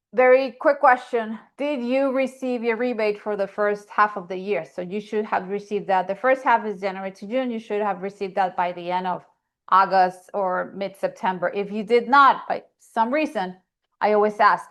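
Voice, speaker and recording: A woman's voice: medium pitch, fairly even intonation, normal speed. Woman with a Colombian accent. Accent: Colombian Spanish. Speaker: association staff member. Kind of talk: online meeting announcements.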